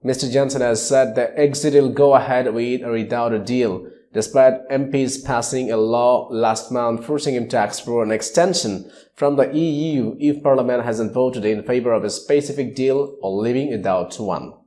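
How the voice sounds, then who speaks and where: A male news reader with a dark, relaxed tone and a mid-range pitch. He delivers scripted news reports as narration. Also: moderate pace.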